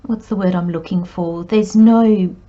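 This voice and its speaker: A woman giving a tarot reading with a croaky voice, talking in an unhurried, meandering way with many pauses and fillers. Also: lowish voice, steady tone, dark tone, dull audio. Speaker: tarot reader. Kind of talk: spoken tarot reading monologue.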